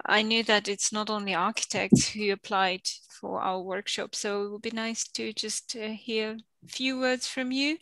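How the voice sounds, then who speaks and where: Bright-voiced woman hosting an online class. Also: a little high, no echo. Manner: friendly, loose phrasing with fillers. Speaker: workshop co-host. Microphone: video call.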